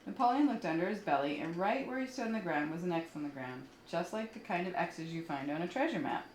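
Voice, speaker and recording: A woman with a fairly low voice tells children's stories aloud. She reads expressively and pauses to explain things to young listeners. The recording is roomy, with faint background noise. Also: lively intonation. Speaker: children's story reader. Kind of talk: storytelling read aloud for children, with asides.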